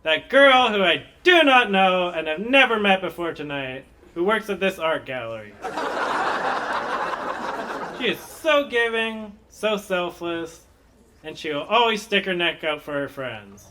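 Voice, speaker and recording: Sitcom newlywed, young man: effusive, emotional, theatrical, high register with very animated melody. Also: unhurried, slight background noise, heavily acted. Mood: gushing, celebratory. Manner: gushing, theatrical. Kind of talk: audio sitcom acting.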